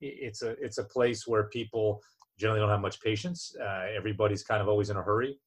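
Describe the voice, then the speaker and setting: A man's voice: on the low side, steady tone, quick pace, breathy edge. A man from New Jersey chatting casually as co-host, joking and self-deprecating, often restarting his sentences. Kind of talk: casual chat.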